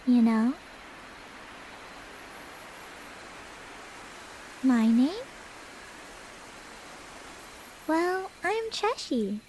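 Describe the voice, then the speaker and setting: Woman acting as a high-voiced, teasing cat girl. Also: a little mellow, heavily acted. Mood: cheerful, curious.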